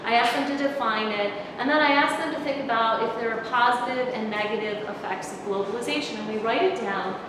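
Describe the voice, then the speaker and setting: A woman's voice: fairly high pitch, fairly quick, hardly any pauses. A middle-aged woman professor giving a conversational lecture, projecting her voice without a microphone. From United States. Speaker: university professor giving a talk. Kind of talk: public lecture. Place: conference room, indoors. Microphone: far camera, no microphone. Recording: echoey hall from far off, room noise.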